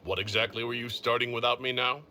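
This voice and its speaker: A man's voice: medium pitch, steady tone, fast talker, bright, forceful tone, a little hiss or hum. A man playing a supportive, good-humoured leader in a video game, with plain-spoken delivery. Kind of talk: video game cutscene acting.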